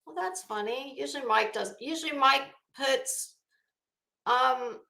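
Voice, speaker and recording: A woman hosts a casual livestream in a bright, forward voice. She takes her time as she talks, chatty and blunt with her viewers. The room around her adds a clear echo. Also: sits high, unhurried. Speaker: livestream host. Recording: echoey room.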